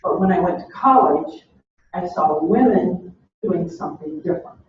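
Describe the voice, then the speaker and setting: A woman's voice: fairly low pitch, lively intonation, moderate pace, noisy background. A woman from Oklahoma presents to a university search committee. She tells a personal story and asks rhetorical questions. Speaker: university diversity administrator. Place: meeting room. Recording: distant, echoey, dull room recording.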